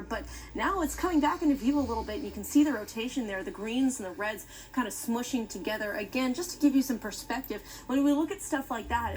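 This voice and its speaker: A woman's voice: a little high, slight background noise, poor audio. A woman with an American accent presenting live breaking weather coverage as a forecaster, informal but insistent with safety instructions.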